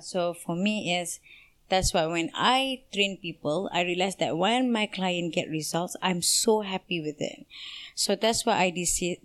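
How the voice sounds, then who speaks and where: A Malaysian woman, a personal trainer, answering interview questions in an energetic, strong voice, mixing Malay and English. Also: on the low side.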